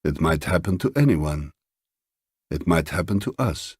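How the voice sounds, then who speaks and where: Man playing a quiet, distracted dreamy husband. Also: rumbling low, expressive, unhurried, slightly rough, no echo, clean studio sound, exaggerated voice. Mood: detached, quiet. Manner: soft, terse, detached.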